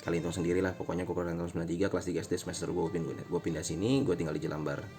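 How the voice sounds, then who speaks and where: A man's voice: sits low, little pitch movement, racing, mellow, soft background music. A man in his late twenties from Indonesia chatting casually in a personal motovlog, rambling, correcting himself and chuckling at his own jokes. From Indonesia, born in Medan. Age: late 20s. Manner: informal Jakarta slang. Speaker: vlogger. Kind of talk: casual personal vlog talk. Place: riding on a motorbike through traffic, out of doors. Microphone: motovlog helmet camera.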